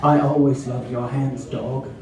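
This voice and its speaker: A man's voice: medium pitch, fairly even intonation, measured pace, crowd noise, voice pushed down, lightly acted. A man voicing a yearning, breathless woman, the heroine of a romance novel read aloud. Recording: echoey room from far off, audience noise.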